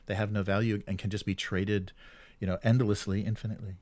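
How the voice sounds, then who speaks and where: A man with a fairly low voice, recorded close and dry. He co-hosts a casual discussion show about gaming news, and he speaks haltingly, feeling for the right word as he goes. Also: level tone, a little mellow, no room sound. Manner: halting, searching for words. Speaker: co-host of a weekly tabletop gaming show.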